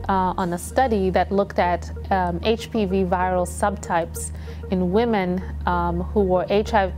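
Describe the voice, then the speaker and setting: Young Zambian-born woman, lowish voice. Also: darkish tone. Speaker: medical student researcher. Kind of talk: documentary interview.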